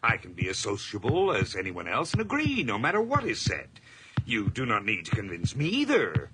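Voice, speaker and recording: An actor playing a nervous, guilt-ridden murderer in an old radio drama, halting, repetitive and intense. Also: male voice, lowish voice, huge pitch swings, over music. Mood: agitated, defensive. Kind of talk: old radio drama acting and first-person narration.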